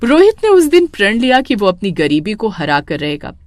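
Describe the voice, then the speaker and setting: Expressive woman narrating a story. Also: medium pitch, normal speed, dry recording, clean studio sound.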